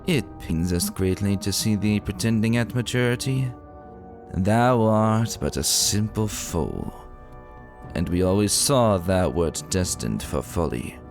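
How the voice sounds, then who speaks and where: A man's voice: fairly low pitch, animated, unhurried, vocal fry, darkish tone. A man voicing a haughty pony princess in a fantasy story, scornful and imperious, with archaic phrasing. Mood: contemptuous. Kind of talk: dramatic fantasy story reading. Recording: music behind the voice.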